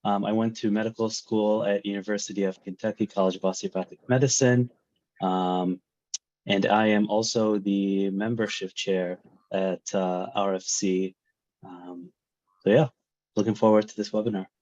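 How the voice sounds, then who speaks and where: Low, flat young man from Iraq. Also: normal speed, no room sound, lo-fi sound. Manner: hesitant, with frequent fillers. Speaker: radiation oncology resident, panelist. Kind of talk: casual self-introduction.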